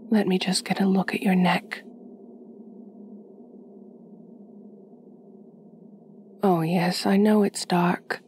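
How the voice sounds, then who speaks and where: A woman voicing a gentle, possessive aristocratic vampire mistress in an ASMR roleplay, soft-spoken, formal and soothing. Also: fairly low pitch, a little hiss or hum.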